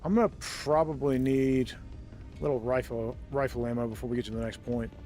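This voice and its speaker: A man's voice: medium pitch, steady tone, a little fast, warm tone, faint music behind. Chatty man streaming a game. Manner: chatty, laughs often, thinks aloud with ums. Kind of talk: casual gameplay commentary. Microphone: desk mic with noise suppression.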